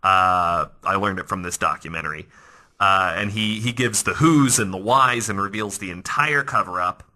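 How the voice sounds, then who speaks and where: A man hosting a podcast, telling stories in a casual, animated, conversational way that often restarts mid-thought. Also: low-pitched, normal speed. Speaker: podcast host. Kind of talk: casual storytelling podcast talk.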